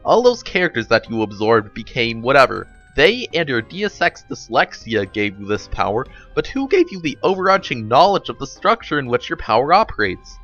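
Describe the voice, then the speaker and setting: A man's voice: medium pitch, sing-song, moderate pace, few pauses, fairly bright tone, lo-fi sound, faint music behind. A man voicing a brooding, defensive and sarcastic young man in a dramatic storybook reading. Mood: probing, guarded.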